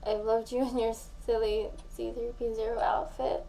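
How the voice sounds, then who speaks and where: A tipsy young woman played by a voice actress pours out her love to her best friend in an intimate audio drama. Her voice is soft, mellow and fairly high, breathy and halting, with slow, slurred words. There is faint noise behind her. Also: level tone, poor audio. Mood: wistful, heartbroken. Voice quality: soft, breathy, often dropping to a whisper. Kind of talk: intimate audio roleplay acting.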